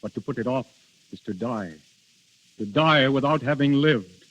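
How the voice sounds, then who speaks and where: An actor playing Death in princely human guise, grandiose, yearning and imperious, in a 1930s radio drama. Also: male voice, mid-range voice, normal speed, a bit bright, no room sound, close to an everyday voice. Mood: anguished, imperious. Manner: theatrical, imperious, brooding. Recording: muffled 1930s radio recording.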